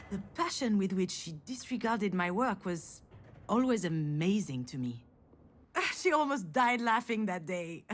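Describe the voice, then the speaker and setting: A wry, self-deprecating woman in a narrative video game. Her delivery swings widely in pitch as she moves between hesitant excuses and sardonic inner commentary. She sounds flustered and quick to mock herself. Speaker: voice actor playing the lead character. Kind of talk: acted game dialogue with inner-monologue narration.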